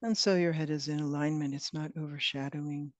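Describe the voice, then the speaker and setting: A woman leading a guided meditation as a teacher, speaking in gentle, unhurried phrases with long pauses. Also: low voice, fairly even intonation, no echo. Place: online retreat session, close dry sound. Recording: dull, band-limited online call audio.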